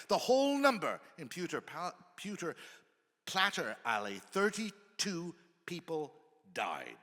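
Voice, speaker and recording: Bright, forceful man reading in an echoing hall. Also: on the high side. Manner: formal, emphatic, theatrical oratory with weighted pauses. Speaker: actor giving a dramatic reading. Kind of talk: dramatic reading of an old historical account. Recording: reverberant hall with long echo.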